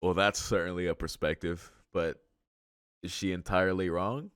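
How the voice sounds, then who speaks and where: A man reading a visual novel aloud as a let's play host, in measured narration with casual, slangy asides. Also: sits low, steady tone, fairly bright tone, clear, resonant tone, dry recording, clean studio sound. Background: music.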